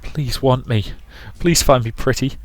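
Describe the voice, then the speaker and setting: A man's voice: medium pitch, a little slow, no room sound, voice pushed up, lightly acted. A man voicing a desperate, adoring young woman who pleads and stammers in a visual novel. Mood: pleading. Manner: pleading, stammering, breathless repetition. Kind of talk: dramatic visual novel reading.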